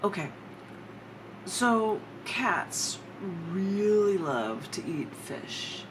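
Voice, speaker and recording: A woman with an American accent giving a live poetry reading, with theatrical, drawn-out emphasis on her words. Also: mid-range voice, big pitch swings. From United States. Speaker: poet. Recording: clear background noise.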